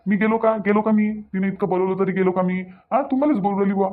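A man's voice: high voice, steady tone, fast talker, close to an everyday voice. A man voicing a mild, evasive young husband in a Marathi cartoon, soothing and repeating himself in Vidarbha dialect, on narrow muffled audio. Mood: placating, defensive. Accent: Vidarbha (Varhadi) Marathi. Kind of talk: Marathi cartoon story dialogue.

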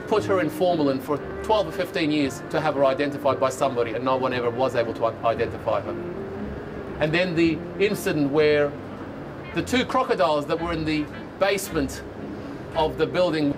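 A man's voice: a little high, low-quality recording. A man in his forties with an Australian accent, leading a heritage building tour outdoors on a rooftop and telling its stories with enthusiasm. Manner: storytelling, enthusiastic, lists places in rhythmic runs. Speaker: tour guide. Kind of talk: guided heritage tour talk. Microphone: lapel.

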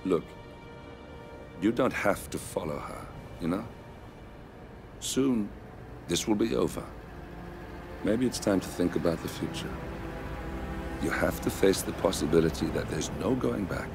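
Game rebel comrade, deep, wry, dry, conversational, score behind. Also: male voice, animated, measured pace, gravelly, long pauses, over music, full character voice. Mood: earnest. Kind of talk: video game cutscene acting.

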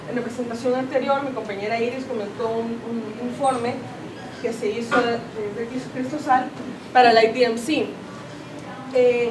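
Higher-voiced woman presenting in a room. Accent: Salvadoran Spanish. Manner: explanatory, self-correcting, many fillers. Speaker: conference speaker. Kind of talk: presenting data at a seminar. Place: conference room with audience. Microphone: far camera, no mic seen. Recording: roomy, distant camera audio with background noise.

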